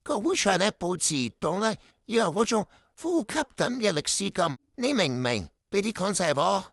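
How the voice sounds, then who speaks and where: A woman's voice: mid-range voice, big pitch swings, moderate pace, vocal fry, no room sound, clean studio sound. A woman playing a dramatic, demanding boss with a pompous, theatrical manner in a comedic radio drama. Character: dramatic, demanding boss who talks in abstract riddles.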